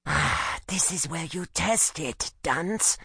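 Tiny friendly underworld creature played by a male narrator: bright, husky, slightly nasal, unhurried, bickering storybook delivery. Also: a little high, expressive, no room sound, studio quality, pitched above the performer's own voice, heavily acted. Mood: bossy, friendly.

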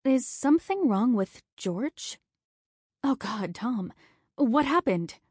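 A woman voicing a frantic middle-aged wife who talks in high, breathless, urgent pleas. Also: very animated, slow pace, a little mellow, slightly rough, no room sound, studio quality, heavily acted. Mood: worried.

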